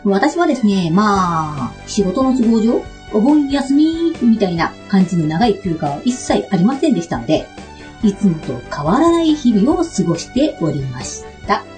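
A woman radio host delivers a chatty monologue with lively, swinging intonation. Her tone is mellow and polite with playful touches, over soft background music. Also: mid-range voice, normal speed.

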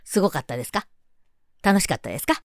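A woman's voice: lowish voice, sing-song, quick pace, no echo, clean studio sound. A woman hosting a casual radio chat, mixing polite speech with playful teasing. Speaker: radio show host.

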